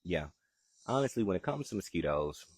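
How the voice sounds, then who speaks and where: A man's voice: low-pitched, sing-song, fairly quick, husky, no room sound. A man with a Southern accent, hosting a live gardening chat outdoors in his backyard and answering viewer questions in an easygoing, chatty way. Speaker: gardening show host.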